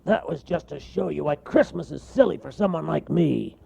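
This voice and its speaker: A man voicing a grumpy, bossy male bear in a storybook, loud and scornful, shouting and sneering. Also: on the high side, animated, moderate pace, creaky, husky, pitched above the performer's own voice, full character voice. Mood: angry boastful.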